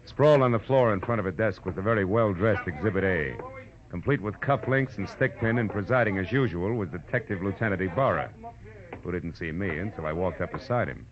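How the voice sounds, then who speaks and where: A man's voice: deep voice, expressive, fairly quick, crowd noise. A man playing a wry, tough American private detective in a 1940s-style radio drama, wisecracking as he narrates his case. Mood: wry narration. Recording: dull old radio recording with music.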